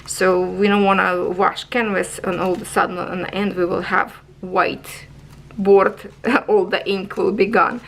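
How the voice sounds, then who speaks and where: A woman's voice: medium pitch, little pitch movement, measured pace, poor audio. A woman giving a casual product review on her craft channel, chatty and checking in with viewers, in non-native English phrasing.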